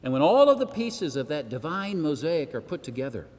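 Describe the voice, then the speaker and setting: A man delivering a formal public speech with measured, emphatic oratorical phrasing and the occasional rhetorical aside. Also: fairly high pitch, sing-song, darkish tone. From Senegal. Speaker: arts organisation president giving a launch speech. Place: church hall, addressing a gathering. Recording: long echoing reverb of a large hall.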